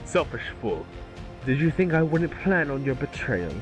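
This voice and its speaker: A man's voice: fairly high pitch, big pitch swings, normal speed, over music. A man voicing a scheming male villain lord in an amateur table read, gloating as he speaks.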